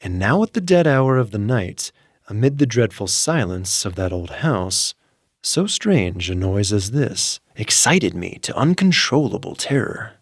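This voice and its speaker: A male narrator reads a grim tale at an unhurried, deliberate pace, his fairly low voice sweeping widely in pitch. He swings from hushed murmurs to sharp, intense peaks. The sound is close and dry, with no room around it. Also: sing-song, no room sound. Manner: slow, theatrical, swings from hushed murmurs to sudden intense outbursts. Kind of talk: dramatic audiobook reading for sleep.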